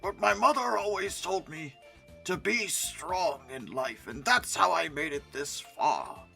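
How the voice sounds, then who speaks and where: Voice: medium pitch, animated, unhurried, creaky, pushed and bright, faint music behind, voice pushed down, exaggerated voice. A performer voicing a pitiful dinosaur who stoically endures a hard life, speaking plaintively and earnestly. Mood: sad, resigned.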